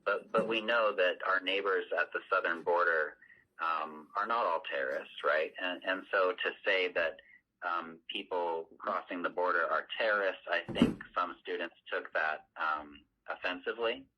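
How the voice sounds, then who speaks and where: Man, very low voice, hesitant, placating, teacher explaining, dull lo-fi call recording. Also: normal speed, slight background noise. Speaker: school teacher. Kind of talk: explanatory conversation.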